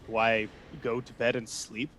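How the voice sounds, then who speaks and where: A man's voice: mid-range voice, level tone, a little slow, fairly bright tone, a little hiss or hum, natural voice. A man playing a scheming, resentful Venetian ensign in a Shakespeare radio play, persuasive and theatrical. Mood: mocking.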